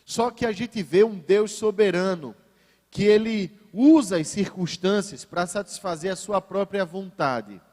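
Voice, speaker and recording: A man with a Northeastern Brazilian accent preaching a sermon as a pastor, phrasing deliberately and emphatically with rhetorical repetition. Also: high voice, a little mellow. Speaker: pastor preaching at a church service. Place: large indoor church, preaching to a congregation. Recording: reverberant church hall sound.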